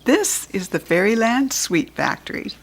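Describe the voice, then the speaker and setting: A woman reading an excitable young schoolgirl. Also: fairly high pitch, a little slow, full character voice. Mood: excited. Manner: eager and excitable.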